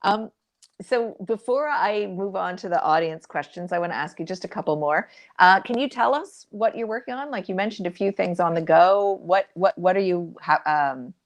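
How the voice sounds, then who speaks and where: A woman's voice: lowish voice, normal speed. A woman hosting an interview, asking questions in an informal, somewhat hesitant conversational way. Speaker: interviewer, event host.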